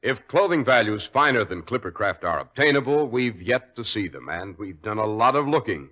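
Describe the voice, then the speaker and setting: A male announcer doing smooth vintage radio ad patter and story links. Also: lowish voice, animated, normal speed, bright, forceful tone, faint music behind.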